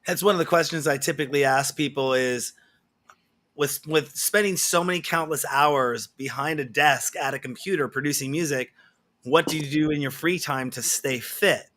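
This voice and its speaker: Bright-voiced male interview host. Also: on the high side, moderate pace. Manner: friendly, reads viewer questions, loose rephrasing. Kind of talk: casual interview questions.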